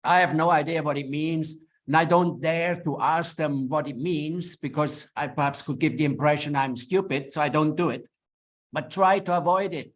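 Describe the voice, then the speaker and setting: A man's voice: on the high side, moderate pace. A middle-aged man from Switzerland with a Swiss German accent, English not his first language, giving earnest, plain-spoken advice in a webinar talk with frequent restarts. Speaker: webinar speaker. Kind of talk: advisory talk to lawyers.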